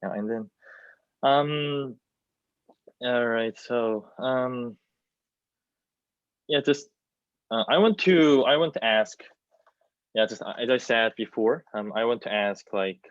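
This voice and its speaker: A man's voice: mid-range voice, slow pace, long pauses, clean tone. A young man, a native Korean speaker with a Korean accent, talking hesitantly on a panel and searching for his words over a video call. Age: young adult. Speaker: student playwright, panelist. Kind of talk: casual panel discussion. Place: plain room, indoors. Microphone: video call, wireless earbud worn. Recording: roomy, dull video-call audio.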